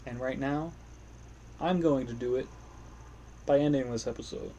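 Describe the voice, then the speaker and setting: A young man talks through a solo podcast episode in a loose, rambling way, often joking at his own expense and swearing casually. His voice sits at an ordinary pitch with a dark, mellow tone. A faint hum of room noise stays under him. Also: normal speed, highs cut off. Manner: rambling, self-deprecating, profane. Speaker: podcast host. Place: home room, playing a video game.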